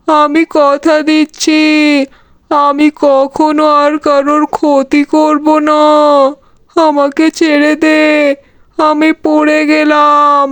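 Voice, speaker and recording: A wicked, power-hungry cartoon sorcerer, acted by a woman. The words are dragged out very slowly on an even, unvarying line. The pitch sits high and the recording is dry. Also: dry recording. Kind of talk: children's cartoon voice acting.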